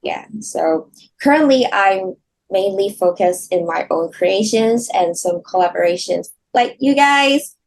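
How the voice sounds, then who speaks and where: Slow-talking woman from Hong Kong. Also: mid-range voice, expressive, low-quality recording. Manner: soft-spoken, slow, halting, laughs often. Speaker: guest singer. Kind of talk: casual interview chat.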